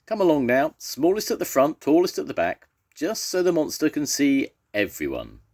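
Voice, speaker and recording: A man's voice: medium pitch, huge pitch swings, normal speed, a little mellow, breathy edge, no room sound, studio quality, voice pushed up. A man voicing a pompous, hearty male lion from a storybook who likes to take charge. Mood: bossy, hearty.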